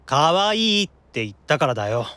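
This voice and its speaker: A man acting out a sulky, excitable young otaku demon with a petulant, teasing manner in an improvised audio drama. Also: on the high side, normal speed, no room sound, voice pushed down. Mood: sulky, accusing.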